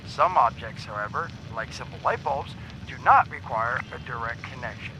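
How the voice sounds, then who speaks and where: An actor playing a folksy, self-satisfied amateur instructor hamming it up as a headmaster on a video game instructional tape. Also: male voice, medium pitch, animated, normal speed, noisy background, natural voice. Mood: instructive, smug. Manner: showy, self-satisfied, hammy.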